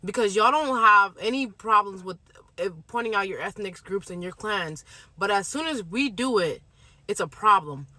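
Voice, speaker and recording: Somali woman ranting into her phone, sharp bright voice, dry sound. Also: medium pitch, expressive, normal speed, no room sound. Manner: forceful, repeats her points. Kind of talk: impassioned monologue.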